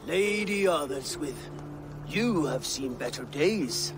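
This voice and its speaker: Higher-pitched Viking war leader. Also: male voice, expressive, takes it slowly, music in the background. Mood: confident. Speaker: game character actor. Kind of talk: dramatic video game acting.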